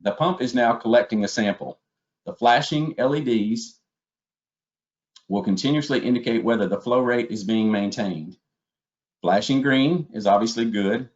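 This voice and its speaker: A man's voice: mid-range voice, normal speed. A man giving a methodical, step-by-step instructional presentation as a webinar trainer. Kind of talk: instructional slide presentation narration. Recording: dull, lo-fi webinar audio.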